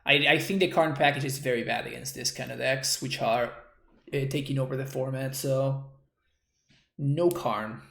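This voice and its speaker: A young Argentine man streams and talks through his plays in a relaxed, chatty way. His English carries a Spanish accent, and he pauses now and then to read and answer chat. The room around him sounds echoey. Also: medium pitch, gravelly. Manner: relaxed, chatty, reads out and thanks viewers' chat messages. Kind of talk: casual gameplay commentary.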